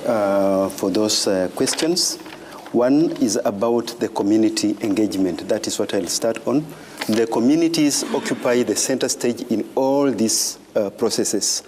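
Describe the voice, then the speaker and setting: A man answering as a panelist at a public event, speaking haltingly with many filler sounds. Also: fairly low pitch, a little mellow. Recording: echoey hall with faint room noise.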